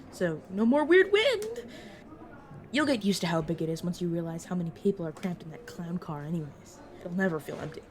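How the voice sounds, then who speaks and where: A woman playing a brisk, protective vampire mentor in an audio roleplay, talking casually and teasingly to a new fledgling. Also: fairly low pitch. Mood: explaining, wry. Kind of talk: narrative audio roleplay, addressed to the listener.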